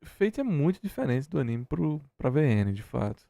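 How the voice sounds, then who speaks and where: A man's voice: mid-range voice, big pitch swings, normal speed, creaky, mellow, no echo, studio quality. A man with a Brazilian accent giving casual reaction commentary, often repeating himself for emphasis. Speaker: streamer reacting to a video.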